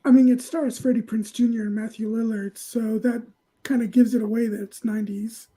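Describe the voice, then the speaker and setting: A woman's voice: a little high, steady tone, brisk, warm tone, breathy edge, rough recording. A woman giving her opinions casually as a panelist. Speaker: panelist on a movie show.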